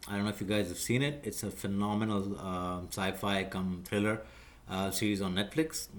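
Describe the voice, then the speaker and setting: Low-pitched man, Urdu first language, measured lecturing delivery with frequent fillers. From Pakistan. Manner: measured, lecturing, many fillers and self-corrections. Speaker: video creator explaining job skills. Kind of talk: unscripted talking-head explainer.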